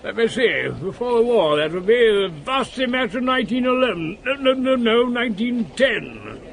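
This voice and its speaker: An elderly English gentleman, blunt and excitable, in a radio drama. His voice is notably high for a man and full of blustering exclamation, over faint outdoor noise. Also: normal speed, gravelly, heavily acted. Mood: reminiscing. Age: older. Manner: blustering, exclamatory, rambling recollection. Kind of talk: British radio drama acting.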